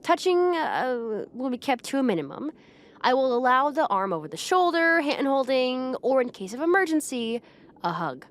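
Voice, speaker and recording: Woman acting a bossy high-pitched high school queen bee. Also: big pitch swings, moderate pace. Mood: bossy, strict. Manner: haughty, bossy, flustered hesitations. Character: popular high school mean girl, bossy, proud, secretly flustered. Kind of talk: roleplay audio acting, addressing the listener.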